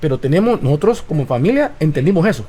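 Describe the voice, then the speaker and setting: A man's voice: a little high, sing-song, fast, mellow, husky. A man of about forty with a Honduran accent, talking casually and emphatically as an interview guest, repeating phrases and adding tag questions.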